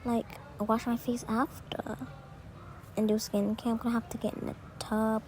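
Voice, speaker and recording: Female, highish pitch, informal halting roleplay narration, clearly noisy recording. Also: flat delivery, a little mellow. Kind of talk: casual voiceover narrating a game roleplay routine.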